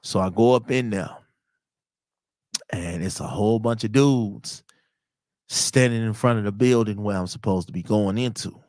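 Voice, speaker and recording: A man's voice: lowish voice, normal speed, long pauses, darkish tone, dry recording, studio quality. A man in his thirties from telling personal stories in a casual, slangy, conversational way as a podcast host. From Chicago, United States. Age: 30s. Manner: conversational, slangy, acts out quoted dialogue.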